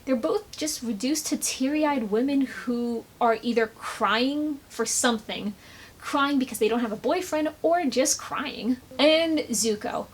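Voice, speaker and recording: A woman's voice: sits high, measured pace, husky. A woman giving casual, opinionated fan commentary, with an emphatic, exasperated ranting manner. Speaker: video essayist, fan commentator.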